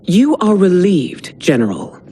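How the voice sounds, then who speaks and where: An actress playing a proud, wrathful empress in a video game, speaking in a formal, grand and imperious manner. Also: female voice, on the low side, big pitch swings, unhurried, a little hiss or hum. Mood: bitter, commanding. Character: proud, wrathful empress betrayed by her own people. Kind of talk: dramatic video game cutscene acting. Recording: loud cinematic score behind.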